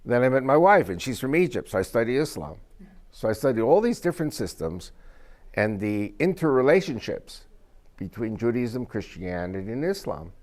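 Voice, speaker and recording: A man's voice: medium pitch, very animated, normal speed, darkish tone. An older man with Spanish as his first language, giving an informal, rambling talk to a small group as guest speaker and joking as he goes. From United States.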